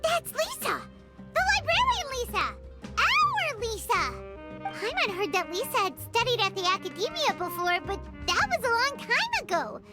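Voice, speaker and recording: A chirpy little fairy, with a very high, bouncing voice. She talks about herself in the third person, with game music loud behind her. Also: big pitch swings, moderate pace. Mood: excited. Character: tiny floating female fairy companion, chirpy.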